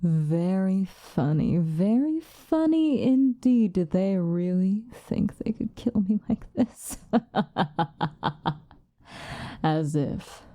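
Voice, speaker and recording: A woman playing a centuries-old female vampire, possessive and vengeful, in a soft, breathy, intense ASMR roleplay. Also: on the low side, no echo, studio quality. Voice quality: soft, breathy, often whispered. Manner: intense, dramatic, slow with long pauses.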